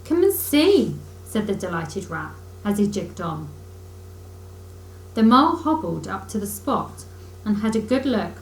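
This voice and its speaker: A woman's voice: mid-range voice, lively intonation, unhurried, pauses often. A woman narrating a children's story aloud expressively, like a teacher reading to pupils. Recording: roomy echo, faint background noise.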